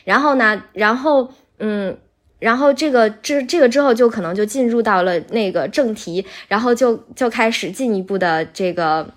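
A woman's voice, on the high side, bright and sweet. She speaks as a voice actor guest on a casual podcast, walking through her explanations step by step with examples. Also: moderate pace.

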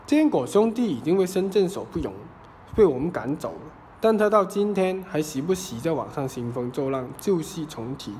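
A man's voice: fairly high pitch, lively intonation, moderate pace, a little mellow, lightly acted. A man playing a pompous, self-righteous real-estate businessman, pleading formally and indignantly in a satirical courtroom podcast drama. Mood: self-righteous complaint. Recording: roomy reverb with faint noise.

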